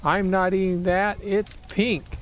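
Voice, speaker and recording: A man's voice: sits high, flat delivery, slow, slight background noise, pitched above the performer's own voice. A man voicing a whiny, fussy, picky duck who bawls and complains in a children's storybook. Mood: whiny, insistent. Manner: complaining, bawling delivery.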